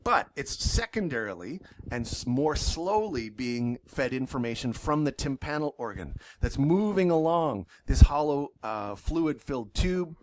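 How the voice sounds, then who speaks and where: A man's voice: mid-range voice, big pitch swings, a little slow, few pauses. A man giving a university zoology lecture in an enthusiastic, loosely worded way, with frequent fillers and self-corrections.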